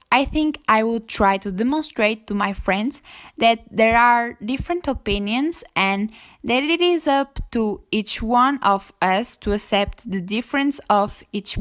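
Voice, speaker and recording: A woman's voice: fairly high pitch, fairly bright tone. A teenage female student with a Portuguese accent, giving a prepared opinion statement. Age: teens.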